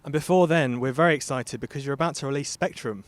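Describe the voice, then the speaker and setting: A male radio interviewer asks questions at a fast pace. His voice sits at a middle pitch. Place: stadium.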